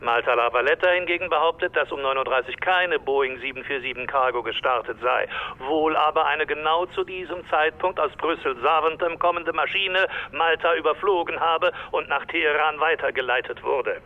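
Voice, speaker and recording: An actor playing an insistent caller reading from a newspaper over the telephone. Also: male voice, on the high side, moderate pace, hardly any pauses. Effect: telephone filter. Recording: tinny phone line.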